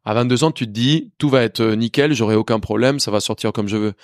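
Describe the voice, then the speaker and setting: A man's voice: medium pitch, fast talker, no room sound, studio quality. A man in his thirties from Colombia with a faint Spanish accent, telling his story in a candid interview, full of restarts and hesitations. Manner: lively, many restarts and hesitations. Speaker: chef guest.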